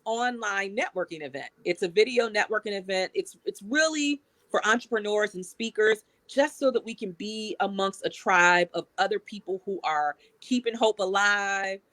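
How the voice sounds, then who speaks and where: A woman's voice: medium pitch, normal speed, hardly any pauses, a bit bright, no room sound. A woman with an American accent speaking as a guest in a motivational, emphatic way. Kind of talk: motivational interview talk.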